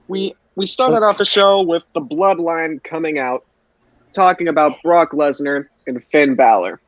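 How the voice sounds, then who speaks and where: A man's voice: a little high, unhurried, no echo. A man co-hosting a podcast, giving an informal recap on a lo-fi recording. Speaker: podcast co-host. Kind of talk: informal recap of wrestling show.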